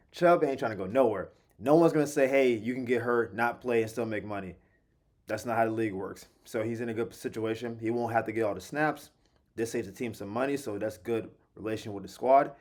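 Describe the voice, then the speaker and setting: A man hosting a daily sports show, talking casually and animatedly in slangy asides, his voice slightly hoarse. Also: fairly low pitch, darkish tone. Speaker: sports podcast host. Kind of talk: casual solo sports commentary.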